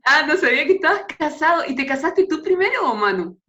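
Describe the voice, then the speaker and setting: Casting: Chilean woman, 30s, youth pastor, chatty and informal, bright forward voice over dull video-call audio. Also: high voice, sing-song, fast. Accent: Chilean Spanish. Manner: casual, chatty. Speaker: pastor leading a youth meeting. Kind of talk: informal Bible talk on a group video call.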